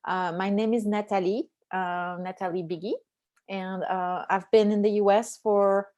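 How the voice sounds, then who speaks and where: A woman's voice: mid-range voice, measured pace, muffled recording. Middle-aged French woman, accented English, easygoing presenter over a computer mic. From France. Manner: relaxed, many ums and uhs. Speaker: beekeeping presenter. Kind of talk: online slide presentation.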